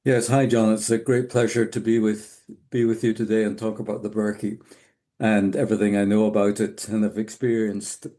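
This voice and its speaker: An older man from the west coast of Scotland with a Scottish accent, telling his story as a podcast guest in an unhurried, reflective way. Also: fairly low pitch, level tone, warm tone. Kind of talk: casual interview talk.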